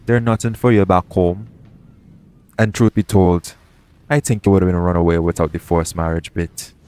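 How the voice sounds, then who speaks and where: Casting: male actor as a roguish yet protective pirate captain, low-pitched, colloquial sea-dog speech, gently reassuring, for intimate audio roleplay. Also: steady tone, moderate pace, natural voice. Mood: tender, reassuring. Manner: colloquial seafarer's dialect, speaking tenderly to one listener.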